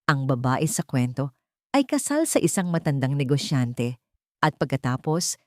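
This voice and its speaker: A woman's voice: very deep voice, lively intonation, moderate pace, no echo, clean studio sound. A woman reading scripted dramatic story narration as the narrator.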